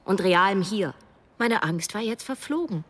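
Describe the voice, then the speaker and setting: Probing young woman, slightly husky. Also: medium pitch, animated, moderate pace, a bit bright. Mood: insistent, wry. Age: young adult. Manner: probing, direct, wry. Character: young woman narrator, probing, insistent, wry. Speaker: first-person narrator. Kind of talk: radio drama narration and dialogue.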